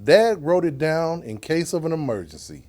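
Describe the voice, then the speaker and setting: A man's voice: fairly high pitch, big pitch swings, normal speed, a little mellow, pitched above the performer's own voice. A man reading the part of a small lost boy in a children's storybook, earnest and plaintive. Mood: anxious.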